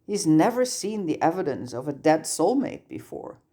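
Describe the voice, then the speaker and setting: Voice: medium pitch, sing-song, normal speed, dark tone. A narrator reading fan fiction aloud as scripted audiobook-style narration. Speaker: podfic narrator.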